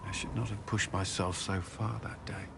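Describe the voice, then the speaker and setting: An actor playing an earnest, humbled, dutiful warrior in a video game, speaking sincerely and haltingly. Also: male voice, deep voice, steady tone. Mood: remorseful. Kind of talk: video game cutscene dialogue. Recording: clear background ambient noise.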